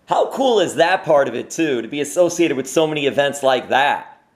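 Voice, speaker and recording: A man's voice: on the high side, lively intonation, moderate pace. A man hosting an interview, asking questions in an upbeat, enthusiastic way. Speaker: interview host. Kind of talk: casual interview.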